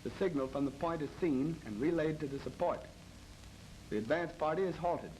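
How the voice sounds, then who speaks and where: An American man narrates a military training film in a formal, measured, matter-of-fact 1930s instructional style. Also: a little high, darkish tone. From United States. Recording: noisy old 1930s film soundtrack.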